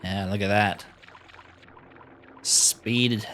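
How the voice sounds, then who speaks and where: A man's voice: lowish voice, fairly even intonation, very slow, a bit bright, a little hiss or hum. A man giving casual, informal commentary on a game playthrough, musing aloud to viewers with mild exclamations. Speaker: gaming channel host.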